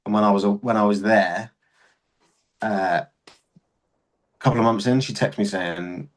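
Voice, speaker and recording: This is a young man, talking casually as a podcast guest. His voice sits slightly low, and he tells his stories candidly and bluntly, swearing as he goes. He sounds relaxed and conversational throughout. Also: takes it slowly. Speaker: podcast guest, serving soldier.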